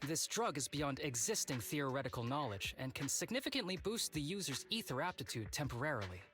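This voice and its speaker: A man's voice: a little high, sing-song, brisk, pushed and bright. A man voicing a laid-back, teasing young executive officer in a video game, languid and theatrical. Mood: serious.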